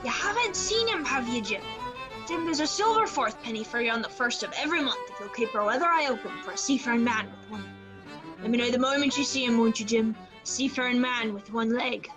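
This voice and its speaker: A woman playing a gruff, suspicious old seaman captain in a radio play, with salty sailor talk and a bossy manner. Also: fairly high pitch, animated, fairly quick, over music, natural voice. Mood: wary, insistent.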